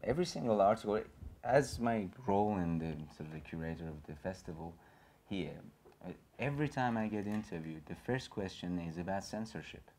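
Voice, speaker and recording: A man's voice: low-pitched, lively intonation, fairly quick, a little mellow, a little hiss or hum. A man in his thirties with a Persian accent, speaking on a gallery panel in an earnest, rambling way full of fillers and restarts. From Iran. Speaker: panelist and filmmaker. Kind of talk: panel discussion. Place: small gallery room with an audience.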